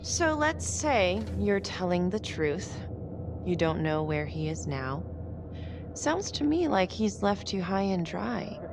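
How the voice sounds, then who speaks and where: An actress playing a guarded, determined prosecutor in a crime thriller game, speaking in a dry, measured way. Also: female voice, mid-range voice, big pitch swings, noisy background. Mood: dry, skeptical. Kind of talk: naturalistic dramatic game acting. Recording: background music under the voice.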